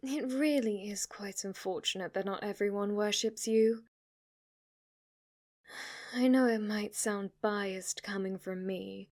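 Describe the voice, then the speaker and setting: A woman's voice: medium pitch, a little mellow, close to an everyday voice. A woman playing a flirty, submissive demon maid who speaks with fanatical reverence in an ASMR roleplay, sometimes dipping into a whisper. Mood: hushed, pained.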